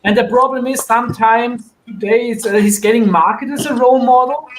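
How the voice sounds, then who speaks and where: High-pitched man in a roomy space. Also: fairly quick, slight background noise. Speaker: podcast panelist. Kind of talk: casual opinionated chat.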